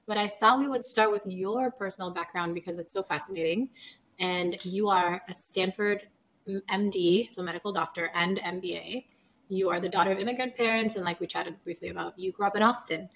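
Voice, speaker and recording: A woman's voice: medium pitch, a little fast, a bit bright, a little hiss or hum, low-quality recording. A woman hosting an interview, upbeat and encouraging as she asks questions. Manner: upbeat, encouraging, laughs easily. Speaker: podcast host.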